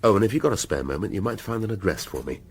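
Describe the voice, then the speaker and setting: An actor playing an irascible, wry police detective laid up in hospital, dry and grumbling, in a radio drama. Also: male voice, lowish voice, expressive, rapid-fire, slightly rough. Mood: wry, brisk.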